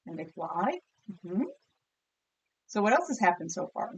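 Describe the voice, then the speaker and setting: Slow-paced woman reading a storybook aloud. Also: on the low side, big pitch swings, low-quality recording. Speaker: teacher reading a picture book aloud to listeners. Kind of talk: storybook read-aloud with comprehension questions.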